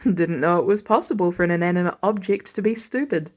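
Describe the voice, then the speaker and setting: A woman voicing a tired, protective middle-aged father with a dry, measured manner, in a story reading. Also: on the low side, pitched below the performer's own voice, lightly acted. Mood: wry, stern.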